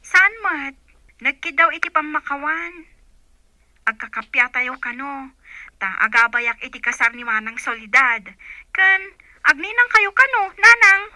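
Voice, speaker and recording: A woman's voice: high voice, animated, measured pace, sharp tone, no echo, exaggerated voice. A woman playing an earnest, questioning young village woman in a radio drama, with an Ilocano accent. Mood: eager, surprised.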